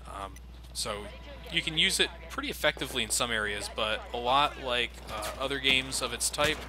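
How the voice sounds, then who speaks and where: A man's voice: mid-range voice, expressive, moderate pace. A man giving casual let's play commentary, sarcastic, self-deprecating and freely swearing. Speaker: video game commentator. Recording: game combat noise behind voice. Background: video game gunfire and vehicles.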